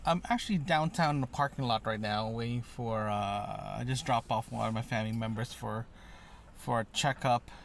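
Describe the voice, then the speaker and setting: A man's voice: mid-range voice, normal speed, gravelly, slight background noise. A man talking casually and conversationally in a solo audio diary, meandering as he goes and often checking in with a tag question. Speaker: solo podcast host and vlogger.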